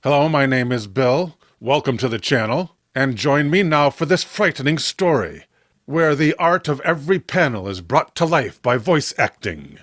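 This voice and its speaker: A man hosting and narrating scripted horror stories in a theatrical, deliberate manner. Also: mid-range voice, animated, a bit bright, no echo, studio quality. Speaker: channel host and narrator.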